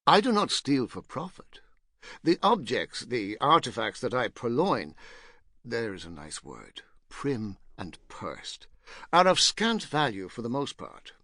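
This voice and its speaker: A man's voice: medium pitch, pushed and bright, dry recording, studio quality, exaggerated voice. A man narrating a literary audiobook as a rueful, self-mocking, pompous ex-painter nearing fifty, fussy and digressive in manner. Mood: wry, confiding.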